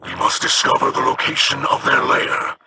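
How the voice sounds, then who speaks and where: An actor voicing a solemn, dutiful male alien ally in a video game, gravelly and formal in manner. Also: medium pitch, completely flat delivery, heavy background noise, lightly acted. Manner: formal, measured. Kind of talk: video game character dialogue.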